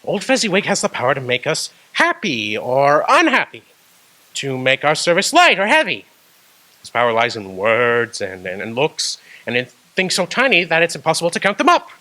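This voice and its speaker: A man acting out an aging miser in a radio play, his bright voice swinging widely in pitch. He halts and wavers as he moves between grief and fond delight. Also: huge pitch swings, normal speed, heavily acted, rough recording. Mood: earnest, hesitant. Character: old miser man, remorseful, reliving his youth.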